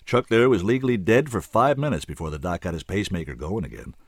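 A man voicing a calm, wry, gruff barkeeper in a story, speaking quietly and drily. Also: low voice, no echo, full character voice. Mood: matter-of-fact. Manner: quiet, dry, unhurried.

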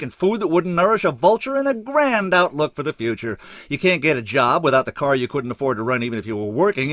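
A man's voice: high-pitched, darkish tone, no echo, studio quality, pitched above the performer's own voice, heavily acted. A man voicing a skinny, truculent young ex-addict in a story, ranting in a raised, strained, slangy way. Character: skinny young ex-junkie, bitter, truculent, desperate.

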